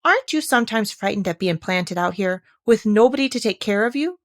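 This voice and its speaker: A woman's voice: medium pitch, expressive, brisk, no echo, clean studio sound, voice pushed up, close to an everyday voice. A woman playing a polite, timid and curious little girl in a storybook, with a questioning manner.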